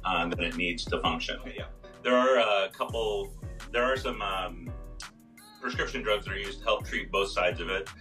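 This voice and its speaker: A hoarse man in his fifties, a pharmacist explaining health topics on a video call, step by step with many fillers. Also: low-pitched, moderate pace, sharp tone, recorded from a distance, faint music behind. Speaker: pharmacist guest on a radio show. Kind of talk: casual health talk. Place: small room indoors.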